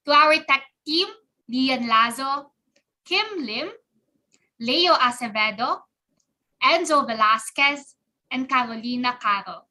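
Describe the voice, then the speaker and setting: High, bright-voiced woman hosting. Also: rough recording. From Philippines. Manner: earnest, reads long lists of names. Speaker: co-host of an online event. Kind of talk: scripted hosting, closing thanks.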